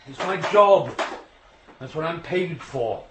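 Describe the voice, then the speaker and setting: A man playing a gruff, dismissive middle-aged railway signalman and father in a radio drama, brusque and blunt. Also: a little high, animated, slow pace, a bit bright. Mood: irritated. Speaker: actor in a radio play. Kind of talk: naturalistic radio drama acting.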